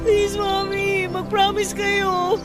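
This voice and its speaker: A very high-pitched, emotional young daughter pleads in a radio drama. Loud music runs underneath her voice. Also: flat delivery, slow pace. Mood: tearful pleading.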